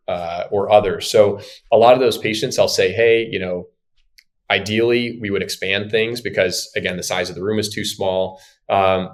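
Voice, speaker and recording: A man's voice: low voice, fairly bright tone. A man in his thirties, an ENT surgeon, explaining patient cases in a casual podcast interview.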